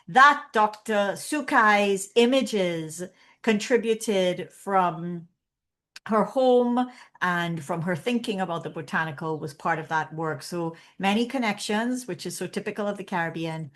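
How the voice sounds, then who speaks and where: A woman hosting an online academic seminar, reading a guest's bio in a measured way and handing over to the speaker. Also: on the low side, animated, fairly bright tone. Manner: measured, reads bio lists. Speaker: seminar host. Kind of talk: formal introduction. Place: online webinar.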